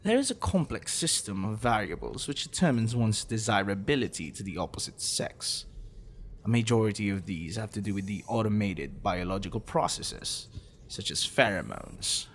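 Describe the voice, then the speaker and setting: A man playing a possessive, smitten professor in an intimate ASMR roleplay, wordy and clinical, with teasing, musing pauses. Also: fairly low pitch, animated, a little hiss or hum. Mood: pedantic, musing.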